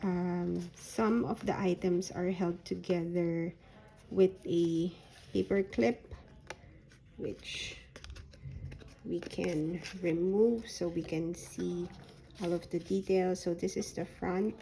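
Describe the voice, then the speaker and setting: A woman with a hoarse voice that is recovering from a sore throat, showing a handmade journal folio in a soft, unhurried way with frequent ums. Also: on the low side, fairly even intonation, slight background noise, low-quality recording. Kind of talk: slow, casual craft flip-through.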